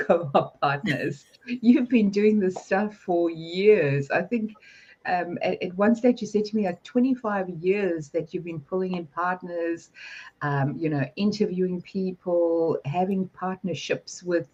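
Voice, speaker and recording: A woman with a croaky, hoarse voice hosts a business livestream in a friendly, chatty way. She asks the questions and laughs often. The sound is dull and a little lo-fi, as over a video call. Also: fairly low pitch, darkish tone. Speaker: host. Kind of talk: livestream hosting.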